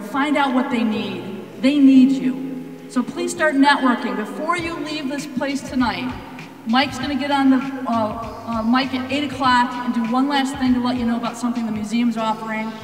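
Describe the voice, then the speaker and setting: Loud woman in her fifties addressing a hall. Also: high voice. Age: 50s. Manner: loud, urging, energetic. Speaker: event organizer. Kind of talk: addressing a crowd at an event. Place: large hall at a live event. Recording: echoey hall voice from far off, music behind.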